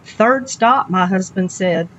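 A woman's voice: mid-range voice, takes it slowly, natural voice. A woman reading a story, playing a good-humoured, folksy female cab driver telling an anecdote. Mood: chatty. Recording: dull lo-fi audio, background noise.

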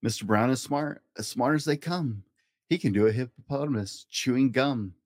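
A man reading a rhyming children's picture book aloud in a playful, expressive way, as a storytime host. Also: mid-range voice, moderate pace, no echo, studio quality. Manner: playful, acts out animal and object sound effects.

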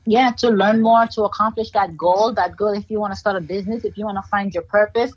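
A woman's voice: medium pitch, fairly even intonation, fast talker, breathy edge. Haitian-accented woman on dull remote audio. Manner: upbeat, motivational explaining. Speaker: guest. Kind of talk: casual interview chat.

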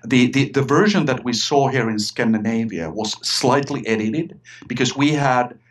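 A man's voice: medium pitch, normal speed. A middle-aged man from Finland with a Finnish accent, talking eagerly as an interview guest and often repeating words as he restarts. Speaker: guest, writer and filmmaker. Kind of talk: casual interview chat.

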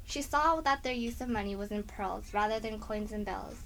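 A woman's voice: mid-range voice, moderate pace, fairly bright tone. A woman reading scripted narration for a children's story as the narrator. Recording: faint background noise.

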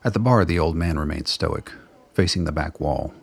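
A man with a husky, gravelly voice narrating a scripted radio drama as a storyteller. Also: rumbling low, normal speed.

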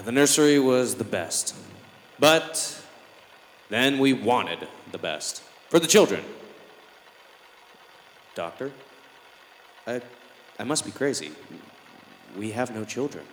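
An actor playing a dazed, troubled father talking haltingly to his psychiatrist in a 1950s radio drama. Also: medium pitch. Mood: wistful, then distraught. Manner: halting, distraught, wistful. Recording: echoey old radio recording, noisy.

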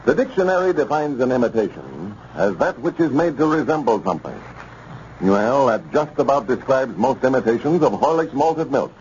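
Man, 1930s radio announcer, formal and emphatic, animated pitch, muffled old recording, faint hiss. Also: moderate pace. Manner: formal, emphatic sales delivery.

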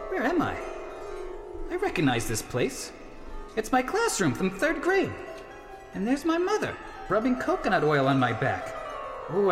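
A man playing an earnest, excitable, sweetly fussy band leader in a comedic radio play, theatrical and exclamatory. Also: high-pitched, exaggerated voice. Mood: drowsy, dreamy. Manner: theatrical, exclamatory, talks to himself.

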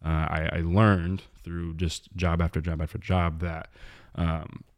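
Young guy from missouri, really low voice, chill rambly podcast guest telling his story. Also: unhurried. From southwest Missouri. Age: young adult. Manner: relaxed, rambling, many fillers and restarts. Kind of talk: casual faith podcast interview.

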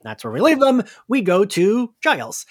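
A man's voice: high voice, wildly swooping pitch, normal speed, breathy edge. A man co-hosting a podcast, recapping and discussing a story in an informal, joking way. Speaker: podcast co-host.

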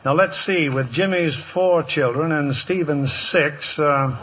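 Old-time radio host guy, sharp bright voice, husky, muffled 50s recording. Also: on the high side, takes it slowly. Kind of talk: scripted 1950s radio curtain-call banter. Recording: dull, vintage 1950s radio broadcast.

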